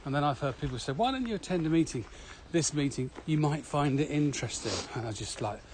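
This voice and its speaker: Man chatting over clear background noise. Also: fairly high pitch, lively intonation, fast talker, darkish tone. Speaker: podcast co-host and trainer. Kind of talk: casual walking podcast chat. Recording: noisy walking recording with ambient sound.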